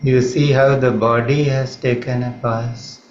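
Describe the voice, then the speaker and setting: A man with an Indian accent leads a guided meditation in a calm, measured manner, leaving long pauses between phrases. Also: mid-range voice. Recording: roomy, slightly muffled, faint background noise.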